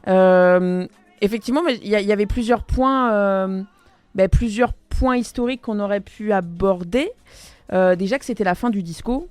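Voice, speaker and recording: A podcast host with a French accent, chatting casually in a halting way with restarts. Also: medium pitch, darkish tone, clean tone, no echo, faint music behind. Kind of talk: casual interview chat.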